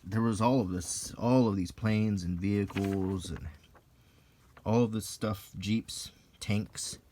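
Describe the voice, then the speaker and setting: A man with a very low-pitched voice talks in an unscripted, musing way, as in an ASMR video. He pauses often and picks his words haltingly. There is some background noise in the recording. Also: steady tone, mellow. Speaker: video host reviewing an old magazine. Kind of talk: unscripted ASMR-style commentary while browsing a magazine.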